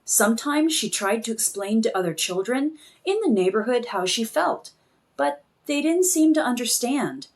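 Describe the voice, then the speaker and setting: A woman reads a children's storybook aloud. Her voice sits a little high and moves through lively, animated rises and falls in an expressive storytelling style. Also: normal speed. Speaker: children's book reader.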